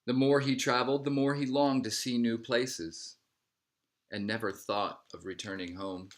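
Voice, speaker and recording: A man reading a picture book aloud as a narrator, in measured phrases that settle at the ends. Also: medium pitch, steady tone. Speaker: storybook reader.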